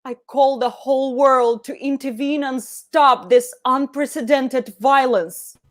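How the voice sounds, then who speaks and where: A woman's voice: sits high, takes it slowly. A woman acting a horrified, impassioned witness, anguished and declamatory as she appeals for help. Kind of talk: dramatic acting.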